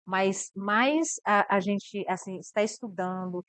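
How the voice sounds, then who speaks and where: A woman's voice: mid-range voice, slow pace, a bit bright, clean tone, no echo. A woman from Brazil, a missionary guest, talking earnestly about her mission work and repeating words as she thinks.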